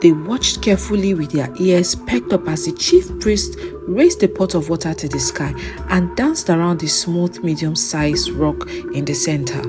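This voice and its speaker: A woman tells a children's folktale in a calm, steady storytelling voice. Her voice sits on the low side, and quiet music plays underneath. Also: normal speed. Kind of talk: scripted folktale narration for children.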